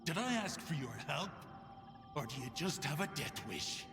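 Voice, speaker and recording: A man's voice: a little high, sing-song, normal speed. A man playing a bitter, sardonic, foul-mouthed scavenger in a video game. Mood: bitter, irritated. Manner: sardonic, coarse. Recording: echoey reverberant space under music.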